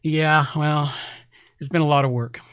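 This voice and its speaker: Higher-pitched man, casual podcast host. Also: fairly even intonation, unhurried, a bit bright, smooth, dry recording, clean studio sound. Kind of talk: casual live podcast talk with announcements.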